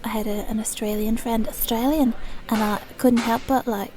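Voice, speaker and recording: A woman speaks in a fairly high yet very mellow voice. She often slips into a whisper, as an ASMR creator does. Her talk is casual, rambling and self-deprecating. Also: steady tone, normal speed. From Dublin, Ireland.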